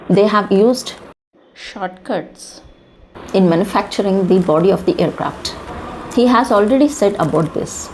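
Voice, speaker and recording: A woman with an Indian accent giving informal news commentary in halting, emphatic short phrases, often posing rhetorical questions. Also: mid-range voice, darkish tone, poor audio. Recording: clear background noise.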